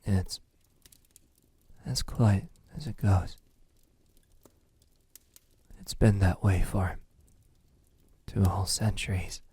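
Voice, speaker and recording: A man playing a centuries-old vampire, lovesick and possessive, in a soft, intimate ASMR roleplay with halting, tender delivery that often drops to a whisper. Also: sits low, little pitch movement, no echo, exaggerated voice. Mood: longing, possessive. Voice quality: breathy, soft, husky. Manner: slow, hesitant, halting, tender.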